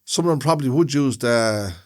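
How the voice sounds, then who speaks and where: Man, podcast co-host, casual chat, rambling, repeats himself, middle pitch, indoor room. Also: fairly quick. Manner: rambling, repeats and restarts phrases while explaining.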